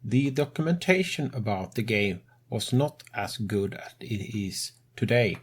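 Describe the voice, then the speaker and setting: Slow, breathy non-native man. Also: lowish voice. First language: not English. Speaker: tutorial host. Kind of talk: game tutorial narration.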